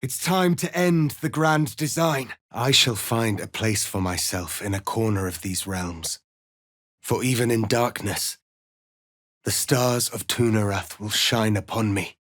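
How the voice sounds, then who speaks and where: An actor playing a proud, stern, noble alien rebel leader in a fantasy video game, speaking formally and with deliberate, solemn authority. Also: male voice, on the low side, fairly bright tone, dry recording, studio quality. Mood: grave, reflective. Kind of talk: dramatic fantasy game acting.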